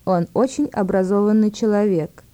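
A woman narrating a scripted language lesson as the instructor, giving words and phrases one by one with measured repetition. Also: medium pitch, dark tone, no room sound. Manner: measured, word-by-word delivery with repetitions.

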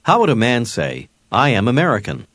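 Lively-pitched male lesson narrator. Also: brisk, dry recording, clean studio sound. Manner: clear prompting teacher. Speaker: language course narrator. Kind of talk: scripted instruction.

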